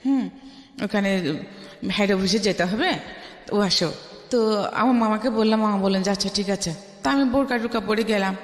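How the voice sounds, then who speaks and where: A middle-aged woman with a Bangladeshi accent tells her life story as a radio interview guest, emphatically, acting out remembered dialogue. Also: a little high. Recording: echoey room with long reverb.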